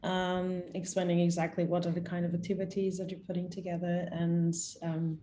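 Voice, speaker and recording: Low, mellow woman moderating a call. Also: monotone, normal speed, slight background noise. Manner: friendly, thanking speakers. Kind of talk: online panel moderating.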